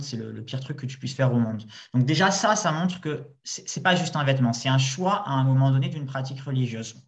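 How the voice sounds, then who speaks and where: A man's voice: medium pitch, highs cut off. A man in conversation explaining his view at length, with frequent hesitations and restarts. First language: not Arabic. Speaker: discussion guest. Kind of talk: casual spoken debate.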